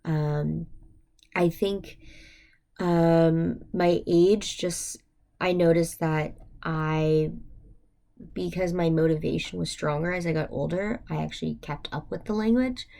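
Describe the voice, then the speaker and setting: Low-pitched young American woman with creaky voice. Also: slow pace, warm tone. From United States. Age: young adult. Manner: hesitant, thinking aloud, many "like" fillers. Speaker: university student. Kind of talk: informal reflective monologue for a class.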